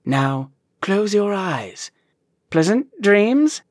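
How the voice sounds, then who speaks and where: A man's voice: high voice, very animated, drawn-out words, no echo, studio quality, voice pushed up, full character voice. A man doing a manic English mad scientist. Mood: manic, fussy.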